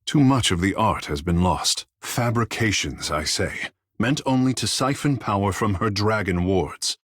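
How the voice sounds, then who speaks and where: A man playing a proud, vain young godlike islander sea mage in a fantasy video game, speaking in lofty, flowery, formal phrases with a husky, breathy voice. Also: lowish voice, expressive, unhurried, a bit bright, dry recording, studio quality. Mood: lofty, reverent. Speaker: companion character in a fantasy game. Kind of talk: theatrical video game dialogue.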